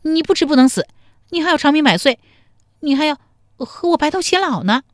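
A feisty young country woman from a storybook, acted out by a female narrator, speaks high and commanding. Her pitch swoops freely as she scolds and teases with a sharp tongue, recorded close and dry. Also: very animated, a little fast, no room sound. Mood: coy fussing. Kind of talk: dramatized storybook dialogue.

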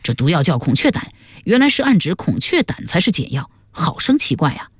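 A brash young male companion in a historical audiobook, acted by a female narrator. He is blunt and curious, throwing out questions with lively pitch movement. The recording is close and dry. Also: a little fast, breathy edge, dry recording, exaggerated voice. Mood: puzzled. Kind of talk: audiobook character voice.

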